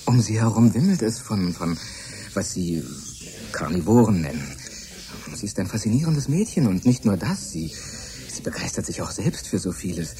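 An actor playing a shy, lovesick young research assistant, earnest and stammering, in a German radio drama. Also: male voice, fairly low pitch, expressive, normal speed, warm tone, slight background noise, lightly acted. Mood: doubtful. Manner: hesitant, stammering.

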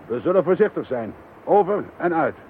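An actor playing a headstrong, insistent chief police inspector in a radio drama. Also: male voice, a little high, animated, normal speed, lightly acted. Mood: brisk, determined. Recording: tinny, band-limited, hissy radio play recording.

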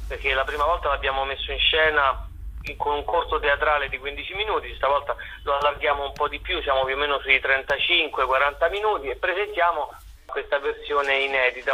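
A man with a bright, forceful voice, slightly high and husky. He chats as an interview guest, playful and ironic, circling back over his phrases as he explains. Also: rough recording. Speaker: actor and director, interview guest. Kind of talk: casual radio interview.

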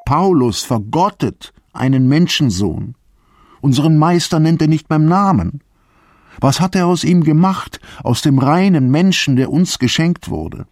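A troubled male disciple of the early church in a radio drama. He declaims slowly in archaic language, solemn and deliberate, with bitterness and indignation toward those who have cast him out. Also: on the high side, animated, a little mellow, no echo, clean studio sound. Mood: indignant. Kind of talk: literary radio drama monologue.

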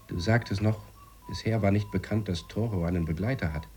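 A man's voice: on the low side, fairly quick, a little mellow, lightly acted. An actor playing a sardonic, worried astronaut who monitors his partner in a German science-fiction radio play, speaking in a dry, sceptical, pressing way. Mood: concerned, sardonic.

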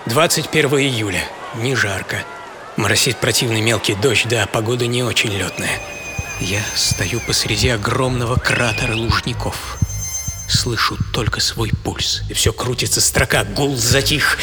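Актёр играет упорного чемпиона по прыжкам в высоту, театрально, с драматическими паузами рассказывающего о себе в радиоспектакле. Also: male voice, medium pitch, close to an everyday voice. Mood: tense, brooding. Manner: theatrical, with dramatic pauses. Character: champion high jumper man reliving triumph and injury, intense, defiant. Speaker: actor and author of the programme. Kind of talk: dramatic first-person radio monologue. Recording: studio voice over prominent music.

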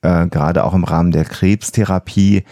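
A man with a deep, gravelly voice co-hosts a podcast. He explains in a conversational way, repeating words to stress a point, in a mellow tone recorded dry and close. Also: steady tone, moderate pace, no room sound. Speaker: podcast co-host.

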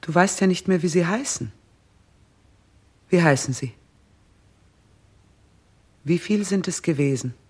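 Stern, husky-voiced queen. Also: lowish voice, expressive, darkish tone. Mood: stern, composed. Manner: measured, commanding, solemn. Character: stern, composed queen guiding a king toward death. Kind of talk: 1960s German radio play acting.

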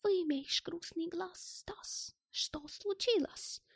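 Женщина озвучивает в аудиокниге пожилого робкого и эмоционального немца, который с немецким акцентом говорит на ломаном языке. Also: female voice, sits high, animated, vocal fry, no room sound, studio quality, pitched above the performer's own voice. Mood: concerned, puzzled. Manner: plaintive, emotional, halting foreign phrasing.

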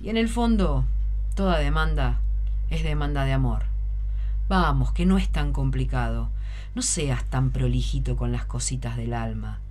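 An Argentine voice at a middling pitch reads a reflective, poetic text. The delivery is colloquial and direct, urging the listener on. There is clear noise around the voice. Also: moderate pace, a little mellow.

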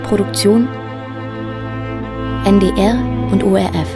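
Slow, measured woman announcer over music. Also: mid-range voice, fairly even intonation. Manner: measured, plain listing. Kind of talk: scripted radio drama credits reading. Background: sad violin music.

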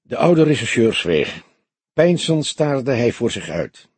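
A man reading a crime story as an expressive audiobook narrator. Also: medium pitch, slow pace, husky, dry recording.

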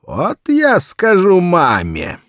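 A man voicing a gloating little boy who teases in a drawn-out, sing-song way as he threatens to tell tales. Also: high-pitched, wildly swooping pitch, a bit bright, no echo, clean studio sound, voice pushed up, full character voice. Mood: gloating, teasing. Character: gloating, teasing little boy tattling on his governess.

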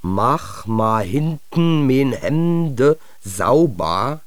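An actor playing a mocking storyteller of childhood memories in a radio drama, with drawn-out, exaggerated delivery. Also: male voice, mid-range voice, big pitch swings, no echo. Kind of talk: acted radio drama interjections.

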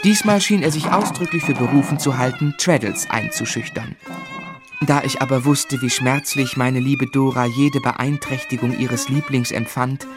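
An actor playing an earnest, tender young husband in a German radio play, articulate and measured in narration and dialogue. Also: male voice, mid-range voice, sing-song, music in the background, close to an everyday voice. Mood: wry narrating. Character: earnest, tender, gently exasperated young husband telling his story.

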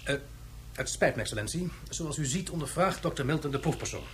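A man's voice: a little high, lively intonation, fairly quick, a bit bright, faint music behind. A man playing a pedantic, eager scientist in a radio drama, explaining with some hesitation. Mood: pedantic, irritated. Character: pedantic male scientist, fussy and eager professor.

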